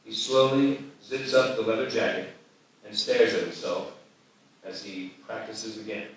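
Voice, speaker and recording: A man's voice: fairly low pitch, fairly even intonation, unhurried, distant mic. A man reading screenplay stage directions as narrator at a live table read. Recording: very echoey room, off the mic.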